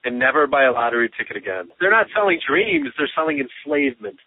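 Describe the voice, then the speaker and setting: A man's voice: fairly high pitch, wildly swooping pitch, quick pace, no echo. An American man chatting casually with a friend in rambling, sardonic rants, a bit congested and short of breath. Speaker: podcast host. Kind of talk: casual phone chat between friends. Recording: muffled lo-fi phone-call audio.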